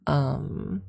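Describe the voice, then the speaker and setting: A woman streamer rambling casually to her viewers on an ASMR livestream, talking in a gushing, hyperbolic fan's manner. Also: dark tone. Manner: gushing, hyperbolic fan talk. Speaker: streamer answering viewer chat.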